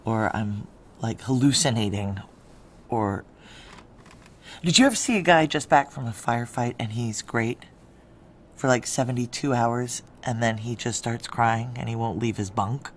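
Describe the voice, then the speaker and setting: A woman's voice: very deep voice, unhurried, a little hiss or hum. A woman playing a hard-bitten but lovesick ex-soldier in a noir audio drama, blunt and emotionally raw. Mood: distraught, confessional. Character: hard-bitten, lovesick female ex-soldier narrating her own heist.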